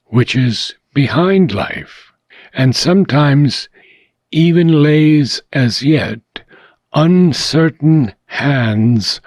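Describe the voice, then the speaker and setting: Slow, husky man reading philosophy aloud. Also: medium pitch, a little mellow, no room sound. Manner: measured, deliberate, pausing between short phrases. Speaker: audiobook reader. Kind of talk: scripted reading of a philosophical book.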